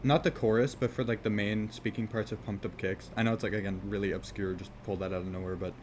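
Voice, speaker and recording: A man with a lowish, fairly monotone voice chats loosely while he codes on a live stream, swearing casually and circling back on his thoughts. A faint hiss of noise sits under the sound of his desk mic. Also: fast. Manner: rambling, laid-back, swears casually, frequent "like" fillers. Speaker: game dev streamer.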